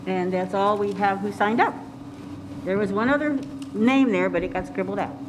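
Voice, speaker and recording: A woman's voice: mid-range voice, moderate pace. A woman chairing a school board meeting, matter-of-fact as she moves the agenda along. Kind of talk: running a meeting. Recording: distant noisy meeting audio.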